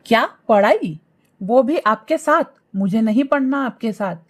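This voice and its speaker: A woman's voice: a little high, expressive, unhurried. A woman voicing a spoiled, insolent young bird daughter in a cartoon story, with a whiny, dismissive manner. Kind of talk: animated moral story acting.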